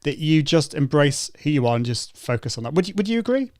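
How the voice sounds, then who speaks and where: A man's voice: fairly high pitch, sing-song, fast talker, darkish tone, dry recording, clean studio sound. A man hosting a podcast interview, talking in an encouraging, reflective way. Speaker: podcast host.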